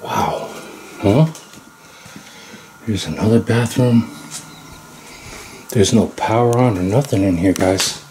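A man's voice: fairly low pitch, slow, pauses often. A man in his thirties exploring an abandoned house on a vlog, talking to viewers in an awed, chatty way. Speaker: urban explorer vlogger. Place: inside an abandoned house and garage. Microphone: handheld camera mic. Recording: echoey empty rooms with background noise.

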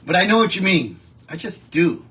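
High-voiced chatty Midwestern man. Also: very animated, moderate pace, fairly bright tone. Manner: chatty, rambling, frequent restarts. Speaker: show host reading viewer comments. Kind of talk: casual live-stream chat. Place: home studio with a wallpaper backdrop.

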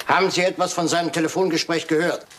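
An actor playing a shrewd, self-assured detective in a German radio crime drama, with a sly, theatrical manner. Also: male voice, a little high, steady tone, fast talker, fairly bright tone, slight background noise, natural voice. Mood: sly, probing. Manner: theatrical, sly, teasing, with occasional French phrases.

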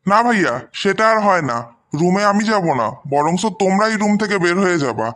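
A high male voice with a Bangladeshi accent , acted by a man, plays a young cartoon brother. He is defiant and protective, pressing his argument insistently. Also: sing-song, a little fast, a bit bright. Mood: defiant, accusing. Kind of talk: amateur cartoon story dubbing.

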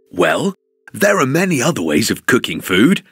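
A man's voice: mid-range voice, wildly swooping pitch, takes it slowly, a bit bright, soft background music, exaggerated voice. A man voicing a jolly, patient father parrot in a children's cartoon, explaining things playfully and expressively. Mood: cheerful, patient.

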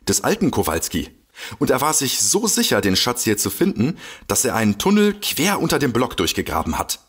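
An actor playing an excitable, boastful detective with a husky voice in a comedy mystery audio drama. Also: male voice, medium pitch. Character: excitable, boastful male detective eager to explain. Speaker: amateur detective.

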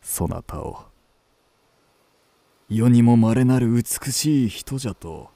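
An actor playing an intense old-time kabuki star confessing forbidden love in a period radio drama, with archaic, theatrical phrasing. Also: male voice, medium pitch, animated, warm tone, no room sound. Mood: ardent confession. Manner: archaic, theatrical, drawn-out delivery.

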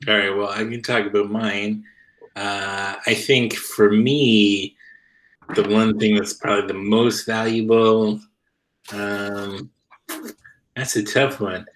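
Easygoing co-host, man, a touch low, loose chatty delivery. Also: level tone, slow pace, a bit bright, rough recording. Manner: easygoing, laughing, loose phrasing. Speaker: comic artist and co-host. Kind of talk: casual video call chat.

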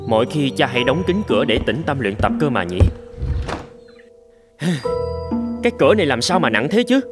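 A man voicing a brisk, impatient young samurai, the second son in a cartoon. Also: medium pitch, big pitch swings, natural voice. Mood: puzzled. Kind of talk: dubbed cartoon storytelling. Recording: loud music under the voice.

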